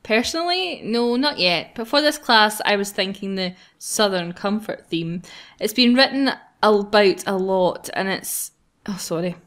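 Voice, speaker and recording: A woman's voice: medium pitch, animated, a little slow, fairly bright tone. Giggly woman reading a visual novel aloud. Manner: giggly, self-mocking. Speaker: gaming video host. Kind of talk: casual playful read-aloud of a visual novel.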